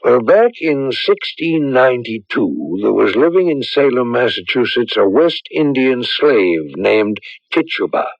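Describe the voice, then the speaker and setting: A thoughtful priest in a radio play, grave and deliberate, with a gravelly edge and expressive swings of pitch. The old broadcast sound is dry and muffled. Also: male voice, no room sound. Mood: solemn, intent. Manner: measured, probing, deliberate. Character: grave, thoughtful male priest counselling a young man. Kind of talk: radio drama acting.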